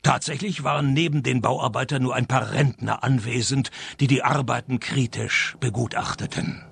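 An actor playing a wry, sarcastic private detective in a radio play. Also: male voice, mid-range voice, normal speed, gravelly, husky, no room sound, exaggerated voice. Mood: dry narration. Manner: dry, deadpan, sarcastic. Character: wry, deadpan male private detective narrating his cases.

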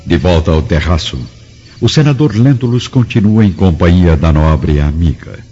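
A man's voice: low-pitched, lively intonation, moderate pace, dark tone. A man narrating a scripted radio drama in a formal, dramatic manner. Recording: muffled recording with music underneath.

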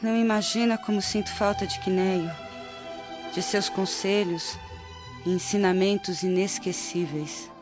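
A woman plays a grave, compassionate Roman aunt in a radio drama. She confides in measured, earnest Brazilian speech, on a dull recording with prominent music behind her. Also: mid-range voice, steady tone, natural voice. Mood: wistful.

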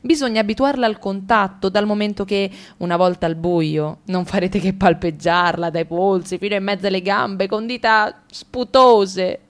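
A woman with an Italian accent reading a radio drama in a lively, ironic way. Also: mid-range voice, moderate pace, few pauses.

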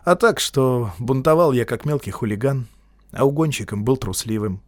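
A man's voice: medium pitch, normal speed, no echo, natural voice. A man voicing a guarded, blunt young man who talks in curt, terse answers.

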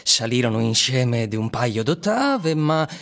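An actor narrating a literary radio drama as an expressive, theatrical storyteller. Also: male voice, mid-range voice, measured pace, gravelly, a little hiss or hum, heavily acted. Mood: vivid narration. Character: male storyteller describing surreal singing plants.

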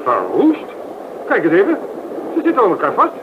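Lively nosy passenger, noisy recording. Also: male voice, sits high, moderate pace. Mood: curious. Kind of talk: Dutch radio play acting. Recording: dull, noisy old radio recording.

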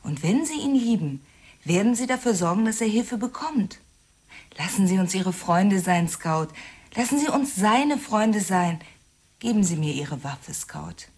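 A woman playing a soothing, persuasive glamour model in a radio drama, with a husky voice and gentle manner. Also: medium pitch, expressive, measured pace. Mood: coaxing. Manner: gentle, coaxing.

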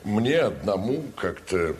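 Casting: self-important stout general for a stage-style radio play, ponderous delivery with lively swings, slight background noise. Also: male voice, fairly low pitch, slow. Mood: leisurely, condescending. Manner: ponderous, puffing between phrases. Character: stout, self-important general at leisure, puffing his pipe. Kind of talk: theatrical audio drama acting.

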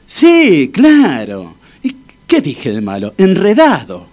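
An actor with an Argentine accent playing an excitable, blundering, fiercely loyal best friend, exclamatory and defensive in a comic radio drama. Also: male voice, high voice, takes it slowly, exaggerated voice. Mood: puzzled, exasperated. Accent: Argentine Spanish.

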